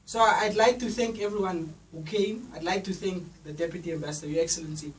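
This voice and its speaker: A young man, a student, giving a loud, rousing speech at an event. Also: high-pitched, fast talker. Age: young adult. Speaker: student association speaker. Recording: distant mic, faint noise.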